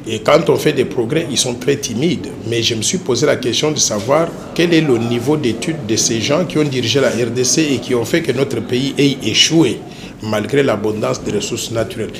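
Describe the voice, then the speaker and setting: A man in his sixties from Congo, speaking, presents himself formally in an interview in a measured, deliberate way with hesitant pauses. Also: medium pitch. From Democratic Republic of the Congo. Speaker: interview guest, political candidate. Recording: noisy ambient background.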